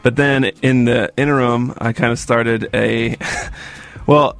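A man's voice: mid-range voice, fairly even intonation, slow, gravelly, a bit bright. A man who plays music, a guest in a studio chat, laid-back and laughing as he talks. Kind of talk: casual chat.

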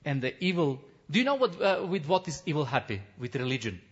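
A man who is a missionary pastor, preaching an impassioned testimony sermon in non-native English, building to shouts, with frequent restarts. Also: fairly high pitch, very animated, fairly quick, slightly rough. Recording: dull lo-fi recording.

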